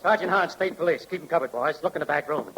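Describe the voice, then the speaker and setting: An actor playing a state police sergeant, barking orders in 1940s radio style. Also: male voice, a little high, fast, natural voice. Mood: commanding. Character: state police sergeant making an arrest, commanding. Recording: very noisy old radio recording with clicks.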